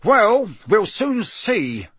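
A man voices a harsh, suspicious male official in a fantasy storybook. He barks and growls his accusations in a raised, husky voice with big swings of pitch. The manner is blustering and forceful. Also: sing-song, unhurried, no room sound, exaggerated voice. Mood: menacing. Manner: barking, growling, interrogating.

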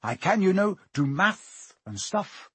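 A man's voice: high voice, sing-song, slow, sharp tone, husky, no echo, pitched above the performer's own voice, full character voice. A man voicing a bewildered, curious schoolboy with a hesitant, questioning manner in a storybook reading. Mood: puzzled, defensive. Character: bewildered curious eleven-year-old boy.

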